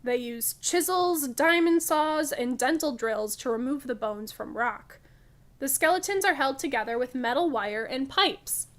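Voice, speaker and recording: A woman reading picture books aloud for young children as a storytime host, in a careful, expressive way. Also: high-pitched, measured pace.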